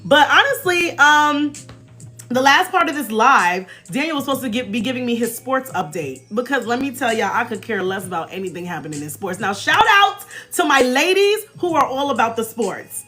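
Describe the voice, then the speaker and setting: An American woman in her forties hosting a casual, chatty livestream with animated, emphatic delivery and informal slang. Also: fairly high pitch, normal speed. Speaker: livestream host. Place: small indoor room, backdrop behind. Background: faint music.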